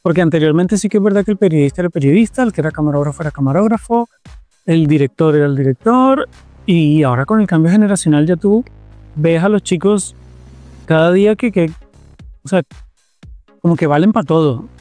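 A man from Colombia, a TV producer answering interview questions in a relaxed, thoughtful way and repeating words as he searches for them. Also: on the high side, a little fast, dry recording, soft background music.

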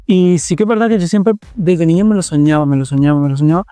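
A man's voice: on the high side, fast, warm tone, smooth, no room sound, faint music behind. A man with a Colombian accent talking conversationally in an interview, repeating phrases for emphasis. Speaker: interviewee, TV presenter and producer.

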